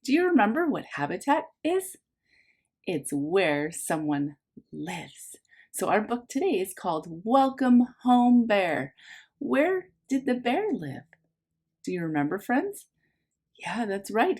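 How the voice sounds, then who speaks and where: A woman reads a storybook as a teacher would to very young children. Her pitch swings widely in a lively sing-song, and she takes her time with slow, simple phrases and questions to her listeners. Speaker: preschool teacher reading a picture book aloud.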